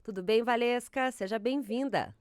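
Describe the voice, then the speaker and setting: Smooth-voiced woman podcast host. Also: a little high, normal speed, darkish tone, no room sound, clean studio sound. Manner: friendly, smooth scripted intro. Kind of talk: hosting an interview podcast.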